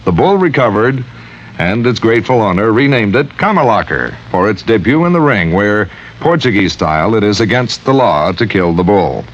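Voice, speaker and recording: A dull, faintly noisy vintage radio recording carries a man as announcer. He reads a scripted narrative in a lowish voice with lively rises and falls. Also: moderate pace.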